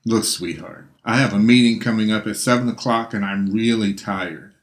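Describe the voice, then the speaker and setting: A smug billionaire tycoon, cold and unruffled , voiced by a man in a lowish register. He drawls with annoying calm. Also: moderate pace, lightly acted. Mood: dismissive.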